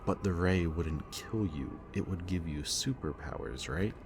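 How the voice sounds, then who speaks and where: A man speaking a gentle, hesitant roleplay monologue to the listener as a soft-spoken villain. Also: low voice, animated, measured pace, creaky, noisy background.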